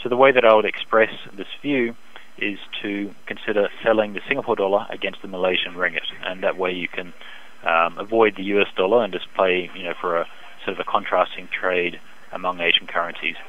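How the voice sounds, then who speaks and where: A man speaking as an expert economist in a phone interview, giving measured, hesitant, technical market analysis. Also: deep voice, steady tone. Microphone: telephone. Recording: dull band-limited phone line.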